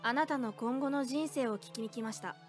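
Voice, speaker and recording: A woman's voice: a little high, fairly quick, faint music behind, natural voice. A woman voicing a composed, mysterious girl in a voice drama. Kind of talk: voice drama acting.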